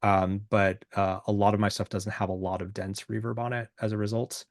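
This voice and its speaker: Male podcast guest, musician. Deep voice, casual and self-effacing, searching for words, very dry sound. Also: little pitch movement, no room sound. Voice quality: deep, booming voice. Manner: halting, self-deprecating, informal. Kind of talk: casual, reflective interview chat.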